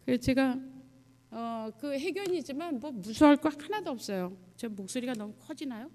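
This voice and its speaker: High-pitched woman preaching in a reverberant church. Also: expressive, a little mellow. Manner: conversational, halting, puts questions to listeners. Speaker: missionary guest preacher. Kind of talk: testimony sermon, mission report to a congregation. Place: church sanctuary, addressing a congregation. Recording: long hall reverb, church sound system.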